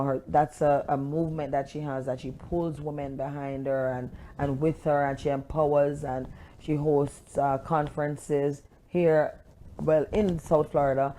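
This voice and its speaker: Low-pitched woman hosting a talk show. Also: warm tone, a little hiss or hum.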